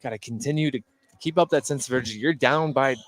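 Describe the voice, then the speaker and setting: A man giving conversational basketball analysis as a color commentator, informal and often pausing to find his words. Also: mid-range voice. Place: indoor basketball gym, courtside. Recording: live gym broadcast, faint background noise.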